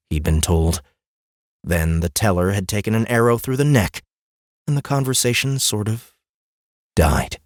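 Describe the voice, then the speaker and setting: A man narrating a fantasy audiobook in a dramatic, deliberate way, with measured pauses. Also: deep voice, dry recording, studio quality. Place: dry recording studio.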